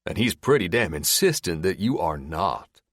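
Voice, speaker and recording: A man putting on a taunting backwoods male captor, folksy, rambling, lively delivery. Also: low voice, normal speed, dry recording, clean studio sound, full character voice.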